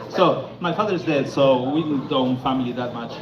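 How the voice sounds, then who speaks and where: A man from Argentina doing a stand-up comedy set on a club stage, stammering into deadpan punchlines. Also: medium pitch, measured pace. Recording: echoey club, lo-fi, noisy.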